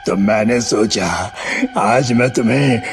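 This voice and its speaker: A man voicing a huge, cruel male ghost in a cartoon horror story, who gloats slowly and laughs menacingly. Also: mid-range voice, vocal fry. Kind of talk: cartoon voice acting. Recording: echoing reverb over music.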